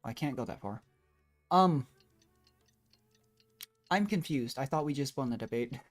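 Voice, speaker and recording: A woman's voice: extremely low pitch, expressive, unhurried. A woman doing casual live game commentary, musing and rambling as she plays. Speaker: game streamer. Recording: faint game music behind. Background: video game music.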